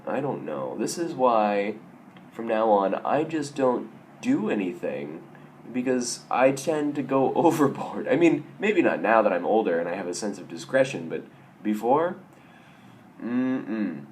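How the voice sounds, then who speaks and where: Hoarse young man rambling wryly. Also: lowish voice, sing-song, unhurried, darkish tone, slight background noise. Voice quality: raspy. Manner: self-mocking, wry, rambling with restarts. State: hoarse from a sore throat. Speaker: vlogger. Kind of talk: casual vlog storytelling.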